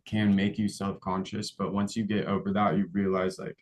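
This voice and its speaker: A man's voice: lowish voice, level tone, normal speed, darkish tone. A young man co-hosting a podcast, chatting in a rambling, agreeable way with plenty of fillers. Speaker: podcast co-host. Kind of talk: casual podcast chat.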